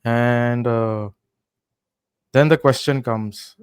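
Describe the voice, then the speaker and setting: A young man from India, a podcast guest, telling travel stories in relaxed casual chat with many fillers. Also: medium pitch, drawn-out words, clean tone, dry recording, clean studio sound.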